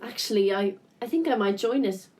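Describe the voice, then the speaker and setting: A woman's voice: mid-range voice, lively intonation, fairly quick, darkish tone, natural voice. A woman playing a wry, nosy character in casual, naturalistic radio drama dialogue. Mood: wry, curious. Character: wry, nosy woman sorting a relative's belongings. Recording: distant from the mic.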